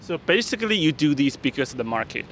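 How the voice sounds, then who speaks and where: A man hosts an interview, asking his questions in a halting way and backing them up with quick agreements. He talks casually, with faint street noise behind him. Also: fairly high pitch, very animated, fairly bright tone. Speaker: interviewer, channel host.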